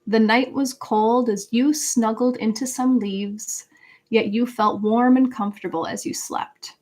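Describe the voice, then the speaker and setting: Woman, higher-pitched voice, livestream tarot host, conversational and earnest, moving between informal chat and read-aloud guidance. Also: fairly even intonation, unhurried, darkish tone. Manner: conversational, reads chat messages aloud, earnest spiritual encouragement. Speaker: live tarot reader and host. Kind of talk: casual livestream chat with card reading.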